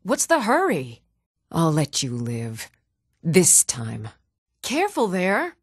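A woman's voice: lowish voice, huge pitch swings, takes it slowly, breathy edge, dry recording, clean studio sound, full character voice. A woman playing a stern, challenging Nord townswoman ready for a fight in a fantasy video game. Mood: stern warning. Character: fierce, even-tempered Nord townswoman warrior, commoner in a fantasy world. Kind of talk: fantasy video game combat and reaction lines.

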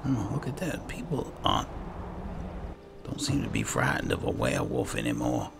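Very deep, gravelly man playing a game. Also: huge pitch swings, normal speed. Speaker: gaming channel host. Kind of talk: roleplay gameplay commentary. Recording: clear background game noise.

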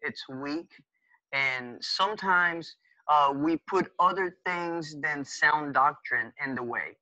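Bright-voiced man, Spanish native, halting. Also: a little high, dry recording. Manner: halting, many filler uh pauses. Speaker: guest. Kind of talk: casual conversation over video call. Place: small room at home. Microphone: webcam video call. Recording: dull lo-fi webcam audio.

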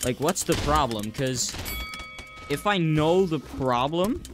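Young male streamer, unhurried delivery, chatty and easygoing with viewers, light game music underneath. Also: on the high side. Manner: relaxed, chatty, answers viewers while playing. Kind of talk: casual live gameplay chat. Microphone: desk mic, wearing a VR headset.

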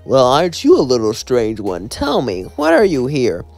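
A man's voice: mid-range voice, wildly swooping pitch, normal speed, gravelly, a little mellow, no room sound, faint music behind, full character voice. A man voicing a sly, easily annoyed male demon who talks in a probing, slightly formal way in a cartoon story. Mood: sly, curious.

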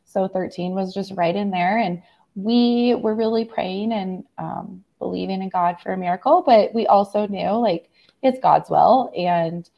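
A middle-aged American woman, hoarse from laryngitis, telling a personal story as a guest in a casual video-call interview. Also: mid-range voice, measured pace, dull audio. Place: room at home, on a video call.

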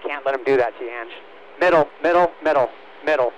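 A man's voice: medium pitch, quick pace, highs cut off, slight background noise. A man working as a race spotter, speaking in short, repeated commands over a team radio. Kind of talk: urgent radio spotting calls to a driver.

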